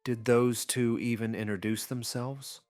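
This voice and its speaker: A man's voice: medium pitch, fairly even intonation, a little slow, a little mellow, dry recording, clean studio sound, faint music behind, voice pushed up, natural voice. A man voicing a level-headed girl companion in an anime audiobook, plain, practical and reassuring.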